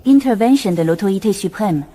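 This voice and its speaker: A woman playing an impassive, formal system announcer in an animated series. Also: medium pitch, brisk, noisy background, lightly acted. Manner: formal, impersonal. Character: impassive female system announcer, supreme authority.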